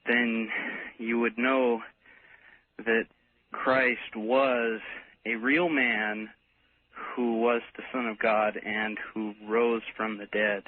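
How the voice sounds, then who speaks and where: A man calling a radio show, speaking in a halting, apologetic way. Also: mid-range voice, level tone. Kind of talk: casual phone-in conversation. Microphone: telephone. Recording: tinny noisy phone line.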